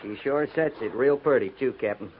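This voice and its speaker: An actor playing a folksy, loyal army sergeant with a rustic Western American accent, in 1950s radio drama style. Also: male voice, medium pitch, a little fast, natural voice. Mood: matter-of-fact, brisk. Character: folksy, loyal, plain-spoken army sergeant of the Old West. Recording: tinny, hissy 1950s radio recording.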